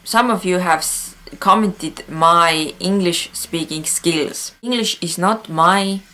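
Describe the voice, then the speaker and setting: A woman whose first language is Estonian, speaking English with an Estonian accent as a news vlog host, earnest, with frequent pauses and filler sounds. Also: lowish voice, pushed and bright.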